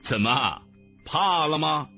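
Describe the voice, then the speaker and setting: A man's voice: fairly high pitch, lively intonation, slow, sharp tone, faint music behind, heavily acted. A man playing a bold, mocking young swordsman. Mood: cool mockery. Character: young male sword hero, cool, defiant and mocking.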